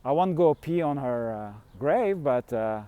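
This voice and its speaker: A man's voice: mid-range voice, expressive, measured pace, dark tone, smooth. A middle-aged man from Switzerland, rambling candidly through a casual vlog monologue with the odd chuckle. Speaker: fasting coach and vlogger.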